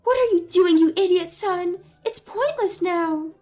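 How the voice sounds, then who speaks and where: A sharp-tongued mother-in-law who shrieks insults at her grown son in a high, piercing voice, put on by a woman. She is loud and quick to scold. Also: expressive, soft background music.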